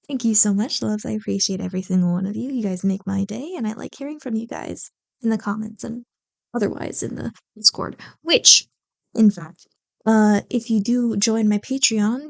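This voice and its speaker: A woman's voice: on the high side, vocal fry, no echo. A young woman, an ASMR roleplay creator, chatting casually and affectionately to her listeners in a rambling, apologetic way.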